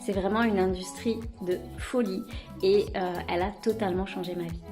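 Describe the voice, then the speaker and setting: A woman's voice: medium pitch, fairly even intonation, normal speed, warm tone, soft background music. Enthusiastic woman in her thirties. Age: 30s. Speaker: network marketing member. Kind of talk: testimonial.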